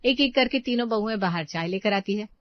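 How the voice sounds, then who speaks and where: A woman's voice: mid-range voice, a little fast, no echo, lo-fi sound. A woman narrating a scripted story as a storyteller.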